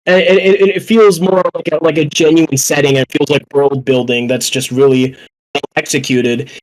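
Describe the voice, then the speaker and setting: A man's voice: on the high side, steady tone, moderate pace, poor audio. A young man casually discussing films on a podcast, rambling and opinionated, with plenty of swearing. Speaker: podcast panelist.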